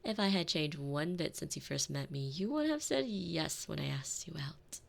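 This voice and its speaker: Woman playing a low, creaky, sardonic retired villainess housewife. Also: lively intonation, normal speed, exaggerated voice. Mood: fond, teasing. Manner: wry, teasing, theatrical phrasing. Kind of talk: scripted ASMR roleplay audio drama. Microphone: close binaural microphone.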